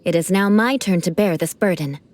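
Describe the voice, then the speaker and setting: A woman's voice: on the low side, brisk, darkish tone, close to an everyday voice. An actress playing a proud young female knight of an aristocratic clan, who speaks in a formal, aristocratic manner. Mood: composed.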